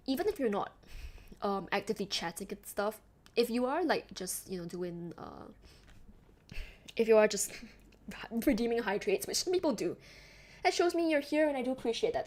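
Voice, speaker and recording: A young Singaporean woman talks loosely to her stream chat, her accent toned down but still there. Her voice sits a little high, and she rambles through fillers and quick laughs. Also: expressive, a little mellow. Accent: Singaporean, softened toward Western English. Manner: chatty, rambling, laughs often, many fillers. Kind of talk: casual chat with live chat.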